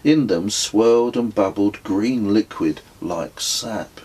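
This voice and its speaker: Deep, husky man narrating slowly. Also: a little mellow, low-quality recording. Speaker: author reading own travel memoir. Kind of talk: scripted audiobook narration.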